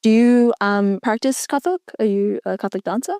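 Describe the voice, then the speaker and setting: A woman's voice: a little high, moderate pace, a little mellow, smooth, no room sound, studio quality. A young woman with an Australian accent, co-hosting a casual student discussion, friendly and filled with ums. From India. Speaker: co-host.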